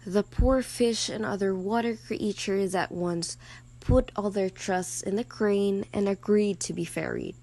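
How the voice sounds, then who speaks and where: A woman's voice: medium pitch, steady tone, measured pace, mellow, rough recording. A woman narrating a scripted story as a storyteller.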